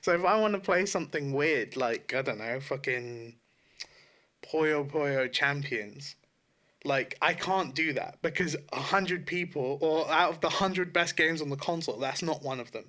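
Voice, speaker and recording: Bright, higher-pitched man chatting casually. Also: measured pace. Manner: informal, sweary, self-correcting. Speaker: podcast co-host. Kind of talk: casual opinionated gaming podcast chat.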